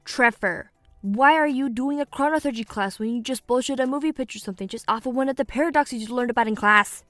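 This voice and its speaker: A woman voicing a sensible, wry young illusionist student in an audio drama, measured and gently chiding. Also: on the high side, no room sound, soft background music, close to an everyday voice. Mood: reasonable. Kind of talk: audio drama character banter.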